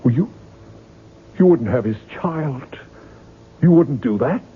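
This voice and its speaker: A weary novelist speaks in a middling register with curt, bitter insistence, in the manner of a seventies radio play. The sound is thin and band-limited, with faint noise underneath. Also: male voice, mid-range voice, expressive, slow. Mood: anxious, insistent. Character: weary, bitter male novelist haunted by his own creation. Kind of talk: 1970s radio drama acting. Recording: muffled, noisy 1970s radio broadcast recording.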